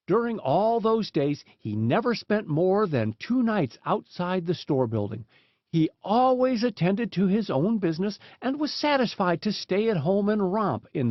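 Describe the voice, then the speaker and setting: A man reading children's animal stories aloud as an audiobook, in a measured, deliberate storybook delivery. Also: high-pitched, dry recording. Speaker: audiobook reader. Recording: dull, dry lo-fi recording.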